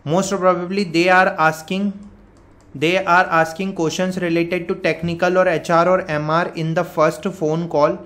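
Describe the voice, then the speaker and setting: An Indian-accented man with a fairly high-pitched voice presents an informal update for job candidates. He explains step by step, often restating a point and checking in as he goes. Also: flat delivery, normal speed, a bit bright. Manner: repetitive, step-by-step explaining with frequent check words. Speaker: career coaching presenter. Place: voice-over on a screen recording.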